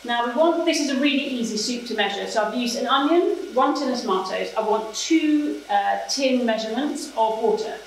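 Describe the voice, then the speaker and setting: A woman's voice: on the high side, moderate pace, hardly any pauses. Female cookery demonstrator, British, middle-aged, practical and chatty; very reverberant kitchen, recorded at a distance. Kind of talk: informal cooking demonstration, explaining steps. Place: medium-sized domestic-style kitchen, indoors. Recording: echoey kitchen, distant camera mic, background noise.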